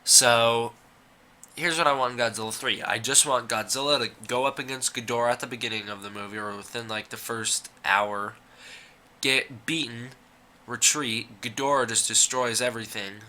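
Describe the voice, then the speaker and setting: A man's voice: mid-range voice, fairly even intonation, moderate pace, pushed and bright, a little hiss or hum. A young man with a cold, giving a rambling, opinionated casual commentary as a YouTuber, with frequent "uh" and "like". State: fighting a cold.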